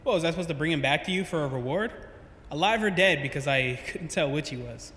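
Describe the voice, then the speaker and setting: An actor playing a theatrical, wisecracking lab scientist in an audio drama, grandiose and teasing. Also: male voice, on the high side, animated, fast talker, a bit bright. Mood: teasing, flippant. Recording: echoey reverberant lab sound, faint noise.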